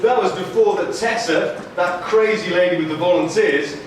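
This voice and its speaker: A man's voice: sits high, moderate pace. A man telling a wry story as narrator of a live children's puppet show. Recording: noisy echoey hall, far from mic.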